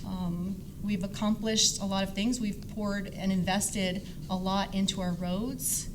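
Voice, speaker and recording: A woman's voice: medium pitch, little pitch movement, unhurried. A middle-aged woman speaking informally as a council member at a candidate forum, rambling in a conversational way. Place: public forum, live event. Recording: noisy live room sound.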